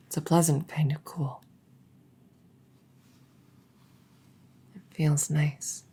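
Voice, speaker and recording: Breathy, hushed young princess, acted by a woman. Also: low voice, little pitch movement, exaggerated voice. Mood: tender wonder. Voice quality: soft, breathy, often whispering. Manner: slow, hushed, deliberate, coy pauses. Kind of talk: intimate roleplay audio drama, ASMR-like.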